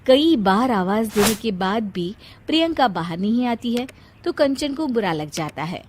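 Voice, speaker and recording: A woman's voice: fairly high pitch, lively intonation, unhurried, background noise. A woman narrating a scripted moral story. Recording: loud music behind the voice.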